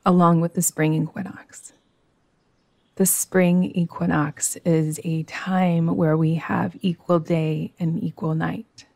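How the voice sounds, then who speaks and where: A woman teaching yoga nidra, guiding a meditation in a soothing, gentle way with long, unhurried pauses. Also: fairly low pitch, no room sound. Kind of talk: guided meditation.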